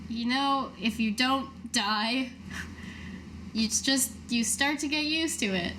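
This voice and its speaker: Woman as stoic female captain, slow deliberate pace, higher voice, halting, understated, kind, faint noise. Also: bright, forceful tone, exaggerated voice. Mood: reflective, resigned. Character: world-weary, stoic but kind female adventuring captain.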